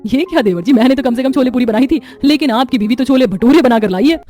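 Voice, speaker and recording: A woman playing a sharp-tongued, confident young daughter-in-law in a story, teasing and argumentative. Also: sits high, lively intonation, fast talker. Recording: music behind the voice.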